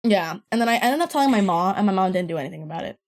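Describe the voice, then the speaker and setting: Young woman, kinda deep voice, rambly podcast storytelling, laughs and swears a lot. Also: animated, rapid-fire. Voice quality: deeper voice. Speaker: podcast guest. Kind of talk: casual storytelling chat.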